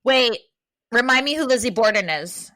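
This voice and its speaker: A woman guest chatting animatedly on a podcast, loud and rambling, with many fillers, speaking close to her microphone. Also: fairly high pitch, expressive, moderate pace, no echo. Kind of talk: casual chat. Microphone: close to a desk microphone.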